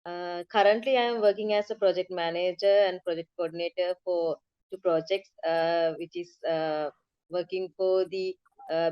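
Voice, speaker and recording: Sri lankan woman in her 30s chatting on a panel, smooth clear voice, kinda muffled lo-fi audio. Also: lowish voice, no echo. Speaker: advocate and panel speaker. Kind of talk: conversational panel talk.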